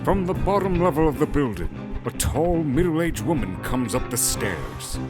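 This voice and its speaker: Lively male narrator over music. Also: on the high side, moderate pace. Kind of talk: scripted dramatic story narration. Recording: music bed under the voice.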